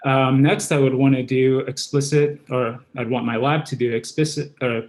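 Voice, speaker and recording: A man's voice: medium pitch, vocal fry, low-quality recording. A man from Guyana and Trinidad, in his thirties, giving an academic seminar presentation as a researcher.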